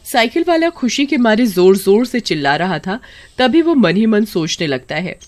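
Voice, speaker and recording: A woman narrating a scripted cartoon story in a steady storytelling manner. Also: medium pitch, moderate pace.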